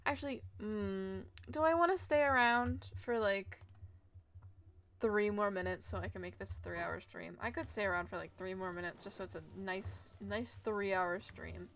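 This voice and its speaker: A woman's voice: mid-range voice, moderate pace, fairly bright tone. A woman chatting casually as she livestreams a game, sounding tired and often muttering to herself. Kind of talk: casual game stream commentary. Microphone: streaming mic over game audio. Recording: faint noise, clicks, home stream.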